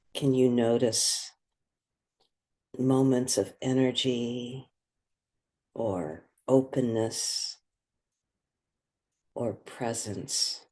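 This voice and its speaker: A person guiding a meditation in a soft, breathy voice, speaking gently and soothingly with unhurried pauses. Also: medium pitch. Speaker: meditation guide. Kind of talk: guided meditation.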